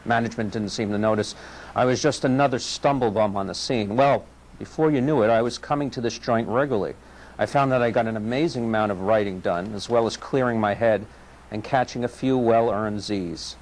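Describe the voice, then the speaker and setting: A man's voice: fairly low pitch, a little fast, a little hiss or hum, poor audio. A man reading his own wry personal essay aloud, a local poet with a laid-back delivery. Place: recorded on location for public access TV.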